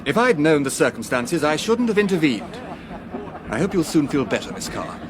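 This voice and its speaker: Stuffy pompous professor, radio play, highish voice, bit of train noise. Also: male voice, sing-song, moderate pace. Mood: pompous, exasperated. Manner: pompous, formal. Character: stiff, pompous English professor, coldly exasperated. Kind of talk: radio drama acting.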